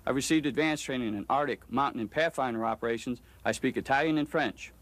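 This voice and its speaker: Army sergeant dude reciting formally, talks fast, mid pitch. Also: male voice, fairly bright tone. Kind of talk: formal scripted self-introduction.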